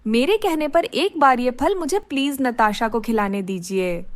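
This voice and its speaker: A woman voicing a devout, gentle young girl in a cartoon story, earnest and pleading. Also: fairly high pitch, expressive, moderate pace, no echo, lightly acted.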